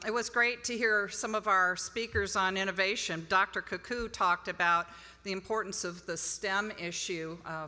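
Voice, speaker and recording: Middle-aged woman, forceful tone, informal presenter at a podium in a big echoing hall. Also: medium pitch. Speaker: institute director, conference speaker. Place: large hotel ballroom, on stage. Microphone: podium microphone. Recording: reverberant hall PA sound.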